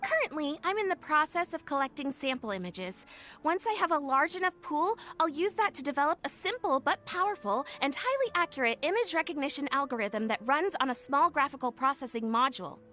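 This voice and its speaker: A woman voicing an enthusiastic young female tech inventor in a video game, with an upbeat pitchman's patter. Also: expressive, a little fast, bright, forceful tone, soft background music. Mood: determined. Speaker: game character.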